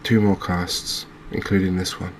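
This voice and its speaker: A man giving soft-spoken, gentle, unhurried gameplay commentary in an ASMR style. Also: low voice, level tone, a little hiss or hum. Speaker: host of a video game channel.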